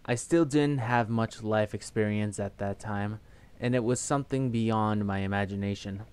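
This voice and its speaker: A young man hosting a game playthrough, chatting casually and reading the game's text aloud in a steady voice. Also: lowish voice, moderate pace, clean tone. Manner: reads text in a flat steady voice, breaks into laughter. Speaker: let's play host.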